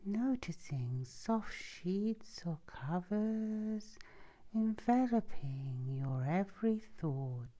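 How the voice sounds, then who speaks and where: Very slow, soft, soothing woman. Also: medium pitch, lively intonation. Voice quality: soft, breathy, often near a whisper. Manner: soothing, gentle, drawn-out phrasing. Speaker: hypnosis session host. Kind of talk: guided sleep hypnosis, ASMR.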